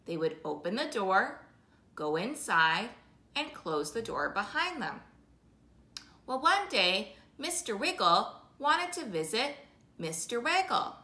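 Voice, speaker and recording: Children's storytime host, female, high bright voice, rhythmic and sing-song delivery, recorded in a living room. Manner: slow, sing-song, repetitive rhythmic phrasing with drawn-out words. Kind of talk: animated oral storytelling for young children. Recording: roomy indoor echo.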